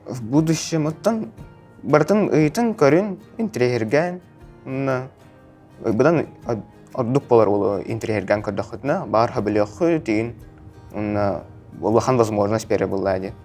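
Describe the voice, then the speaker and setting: A man's voice: mid-range voice, measured pace, darkish tone. A man talks casually in an interview. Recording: faint music behind the voice. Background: faint background music.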